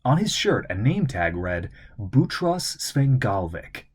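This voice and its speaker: A man reading a children's adventure novel aloud as an expressive, theatrical narrator. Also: mid-range voice, slow, husky. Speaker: audiobook narrator, voice actor.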